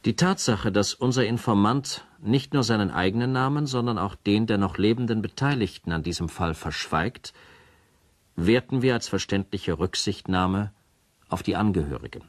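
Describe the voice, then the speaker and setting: A man playing a radio announcer in a crime drama, formal, measured and sober in his scripted narration. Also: fairly low pitch, lively intonation, no room sound. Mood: grave, factual.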